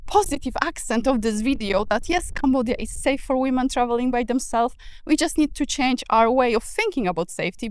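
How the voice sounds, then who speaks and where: A woman's voice: high-pitched, no room sound, low-quality recording. A woman vlogger talking frankly to camera, earnest with small laughs and filler words. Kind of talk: casual to-camera talk. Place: outdoors on a walk in the later part.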